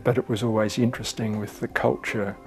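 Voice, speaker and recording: A man's voice: lowish voice, level tone, brisk, faint music behind. A man volunteer teacher recounting his time abroad, matter-of-fact with touches of dry humour. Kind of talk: testimonial interview.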